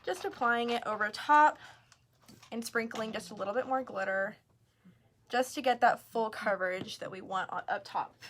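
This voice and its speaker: A woman's voice: fairly high pitch, normal speed, fairly bright tone, a little hiss or hum. A woman casually hosting a live craft tutorial, chatting informally as she explains each step. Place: craft store.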